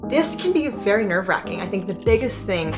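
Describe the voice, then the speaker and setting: A woman's voice: mid-range voice, sing-song, a little fast. Chatty, emphatic young woman vlogger over music. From Indonesia. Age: young adult. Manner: hyped, chatty, slangy, emphatic. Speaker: vlogger and content creator. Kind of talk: casual scripted-feel tutorial vlog to camera.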